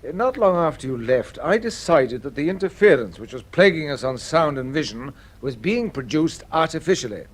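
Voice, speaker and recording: A methodical military colonel in 1950s radio drama. He explains politely, with small hesitations and a highish, varied pitch. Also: sing-song, moderate pace, few pauses. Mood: explanatory.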